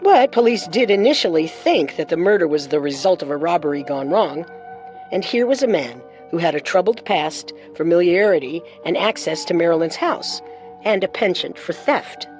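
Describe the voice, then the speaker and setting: A woman's voice: fairly low pitch, expressive, normal speed, darkish tone, soft background music. Woman telling true crime with dramatic flair. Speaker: podcast co-host, narrator. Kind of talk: scripted true-crime narration.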